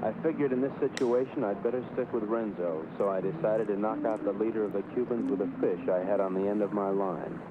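A man in a 1960s film, delivering dialogue and voice-over narration in a smooth, wry, self-assured way. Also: on the low side, fairly quick, hardly any pauses, phone-quality sound. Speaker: actor in an old film. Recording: old film soundtrack with clear hiss.

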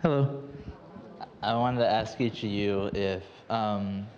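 A man's voice: fairly low pitch, slow pace, a little mellow, dull audio. A man asking a question from the floor of a conference hall, tentative and restarting his phrases. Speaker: audience member asking a question. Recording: reverberant hall, faint room noise.

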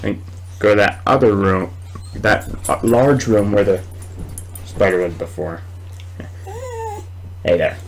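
A young man giving casual, excitable commentary while playing a horror game, muttering and exclaiming to himself. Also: fairly low pitch, slow, long pauses, low-quality recording. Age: young adult. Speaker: gamer recording a let's play. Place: small indoor room at home.

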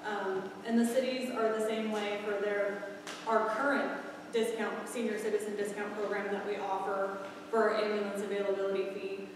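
A woman's voice: medium pitch, level tone, moderate pace. A woman answering residents' questions at a public meeting, clarifying details, recorded from a distance in a large fire station bay. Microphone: far camera. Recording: echoey hall, distant mic, background noise.

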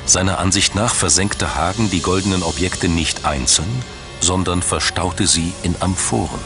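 Deep-voiced man narrating a documentary. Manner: measured, dramatic storytelling. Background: background music.

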